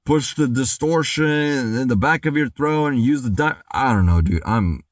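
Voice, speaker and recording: A young man talking through a casual music reaction, rambling and excitable, with lots of "dude" and "like", a slightly worn voice, and loud outbursts when he gets hyped. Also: mid-range voice, normal speed, dry recording, studio quality. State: voice worn from singing practice.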